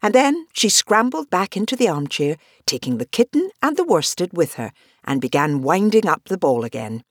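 A woman narrates a children's storybook in a husky, fairly low voice. Her delivery is highly animated and the sound is close and dry. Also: normal speed, no room sound. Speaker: audiobook reader. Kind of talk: lively scripted story narration.

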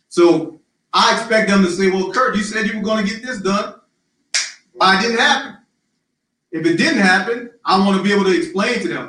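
A man running for city council, answering interview questions in a loud, emphatic, rallying way with lots of repetition. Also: sits high. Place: live-streamed social media forum. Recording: very echoey, distant mic.

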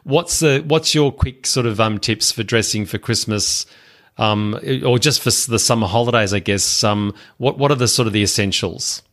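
A man's voice: on the low side, moderate pace, a bit bright. A man hosting a podcast interview, asking questions with hesitations and answering with short, friendly affirmations. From Australia.

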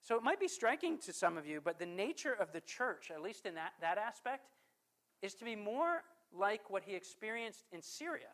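A man preaching a sermon as pastor. He puts questions to the congregation, slows down to stress scripture, and swings from forceful to hushed. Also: high voice, big pitch swings. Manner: emphatic preaching with rhetorical questions.

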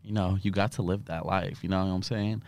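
A man's voice: low voice, level tone, brisk, darkish tone. A man in his twenties from Iraq, a podcast guest with a slight lisp, chatting in a campy, laughing way. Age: 20s. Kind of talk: casual chat.

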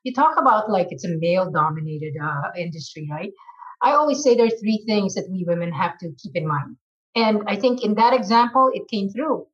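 A woman's voice: mid-range voice, lively intonation, brisk. Earnest middle-aged woman, slightly muffled. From Philippines. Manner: earnest, emphatic, with many fillers. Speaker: guest, marketing executive. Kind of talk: reflective interview answers.

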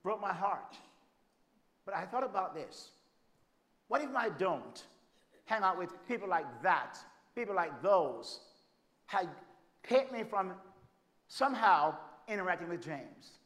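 A Jamaican man preaching a sermon, his voice high and ringing bright. He swings from forceful, emphatic declarations down to quiet, hushed storytelling, and the congregation answers him along the way. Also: sing-song, measured pace, pauses often. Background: congregation calling out amens.

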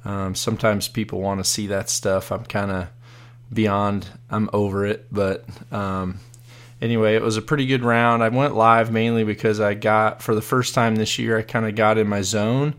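A hoarse man hosting a live podcast, chatting casually and rambling with many fillers and restarts. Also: on the low side, level tone, moderate pace, fairly bright tone. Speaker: podcast host.

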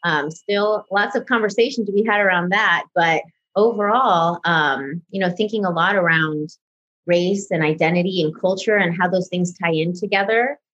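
A woman's voice: lowish voice, moderate pace, fairly bright tone, lo-fi sound. A woman in her late twenties, born in Mexico and raised in the US, chatting as a guest in a friendly way with many fillers. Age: 20s. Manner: friendly, filler-heavy, uses "right?". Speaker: guest consultant. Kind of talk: casual panel conversation.

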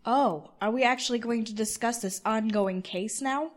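A woman's voice: fairly high pitch, normal speed, lightly acted. A woman playing a defiant, blunt young blind college student in an audio drama, sarcastic and demanding. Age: young adult.

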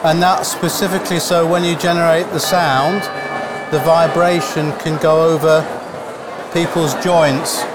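Male practitioner in a casual on-site interview, slightly high voice, very noisy surroundings. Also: fairly even intonation, measured pace. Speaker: singing bowl practitioner and seller. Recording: loud urban ambience behind.

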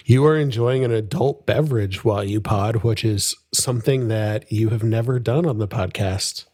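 A young man co-hosting a podcast in casual, upbeat chat, laughing often and tossing in quick asides. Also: lowish voice, a little mellow, husky, no echo, clean studio sound. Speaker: podcast co-host.

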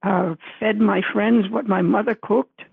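An older woman from the Dominican Republic, a native Spanish speaker, telling stories thoughtfully with many pauses over the phone. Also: medium pitch, steady tone, no room sound. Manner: thoughtful, measured, many uhs. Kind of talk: interview storytelling. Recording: gravelly phone line.

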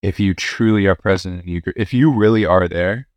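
Young male priest, deep voice, casual storytelling, lots of "um", dry close mic. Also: brisk, no room sound. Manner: relaxed, many fillers and restarts, occasional laughs. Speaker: priest and university chaplain.

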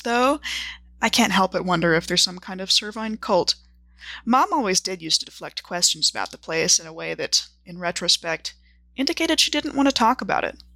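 Woman acting as a wry lady diarist in an audio drama, arch and precise, bright voice, bit of fry. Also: medium pitch, dry recording. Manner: dry, articulate, arch. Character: wry, precise, worldly woman writing her travel journal. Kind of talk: scripted journal narration.

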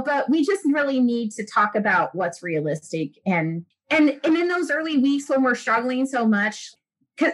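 A woman's voice: high voice, a bit bright, low-quality recording. A woman speaking as a guest in a casual interview, earnest and chatty with many fillers. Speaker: guest, breastfeeding expert.

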